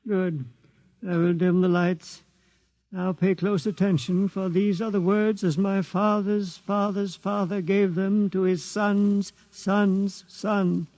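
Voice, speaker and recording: A man in a 1950s radio drama playing an aged keeper of a sacred legend, reciting slowly and solemnly. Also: sits high, level tone, darkish tone, rough recording. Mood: solemn chanting. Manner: slow, intoning recitation. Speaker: old-time radio drama actor.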